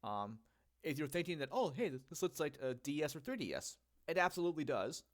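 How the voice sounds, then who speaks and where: A man's voice: on the high side, big pitch swings, a little fast. Congested young man chatting casually. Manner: rambling, filler-heavy, self-correcting. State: congested, getting sick. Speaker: channel host. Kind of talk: casual solo talk about gadgets.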